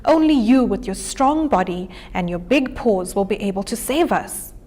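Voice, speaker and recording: A woman's voice: mid-range voice, expressive, normal speed, a little mellow, natural voice. A woman voicing a small, clever, boastful male jackal trickster in a children's storybook, with theatrical exclamations. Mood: wailing panic.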